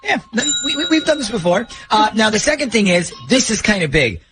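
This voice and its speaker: A male American radio host with a high voice that swings widely in pitch. He is chatty and joking, moving easily between banter and phone interviewing, with a faint music bed behind him. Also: sing-song, brisk. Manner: joking, talkative. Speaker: radio host running a prank call segment.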